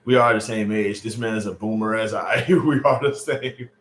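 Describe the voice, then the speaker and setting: A man's voice: on the low side, normal speed, fairly bright tone. A man in his late thirties hosting a casual livestream, chatting loosely with viewers and joking about their comments. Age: late 30s. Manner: loose, chatty, joking with chat, lots of fillers. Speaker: livestream host. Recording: roomy echo on a home stream mic.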